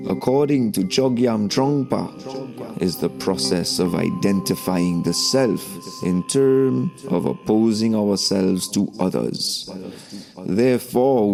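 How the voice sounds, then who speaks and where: Slow, low-voiced man narrating over music. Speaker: storyteller for children. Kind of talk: scripted storytelling narration with a spiritual lesson. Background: background music.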